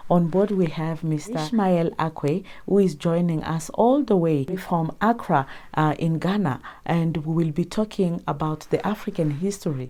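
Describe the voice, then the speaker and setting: A woman hosting her channel, introducing a guest in a measured, welcoming way. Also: lowish voice, dark tone. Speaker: host.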